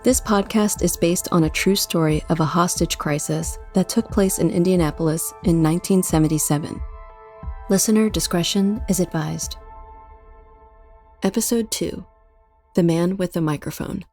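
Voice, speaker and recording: A woman announces a podcast in a scripted read. Her voice sits somewhat low, with some music playing behind her. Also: normal speed, darkish tone.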